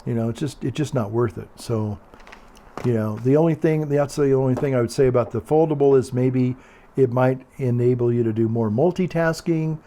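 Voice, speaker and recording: An older man with a calm, quiet voice, chatting casually in a meandering, hesitant way and often repeating himself. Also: medium pitch, normal speed, slight background noise. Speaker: video blogger. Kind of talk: casual rambling monologue.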